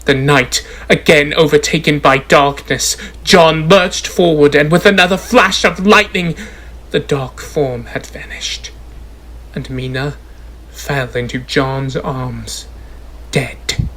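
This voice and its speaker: A man's voice: a little high, steady tone, slow, fairly bright tone. A man playing a lawyer who formally and dramatically presents evidence in a radio drama. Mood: dramatic. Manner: formal, declamatory. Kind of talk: stage radio drama narration.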